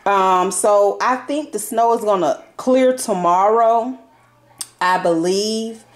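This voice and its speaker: A woman vlogging from her home kitchen, talking viewers through her groceries in a relaxed, chatty way full of fillers and y'all. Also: mid-range voice, slow. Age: adult parent. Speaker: vlogger showing a grocery haul. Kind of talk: casual chatty haul vlog.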